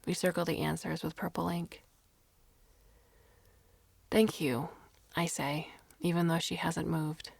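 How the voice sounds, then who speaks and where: A woman reading a first-person short story as narrator, in a dramatic, expressive manner. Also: fairly low pitch, moderate pace, vocal fry, hardly any pauses, no echo.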